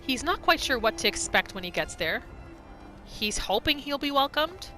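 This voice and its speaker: A woman's voice: sits high, big pitch swings, normal speed, sharp tone. A woman narrating her video game roleplay in the third person, telling the story of her character's thoughts as she plays. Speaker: gaming roleplay host. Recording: music behind the voice. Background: game music.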